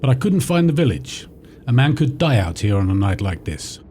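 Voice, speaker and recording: Man playing a highly animated, mannerly gentleman traveller. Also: normal speed, natural voice. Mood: polite, uneasy. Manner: formal, polite, theatrical. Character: lost gentleman traveller, well-mannered, excitable sceptic. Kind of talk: radio drama acting. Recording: clear background noise.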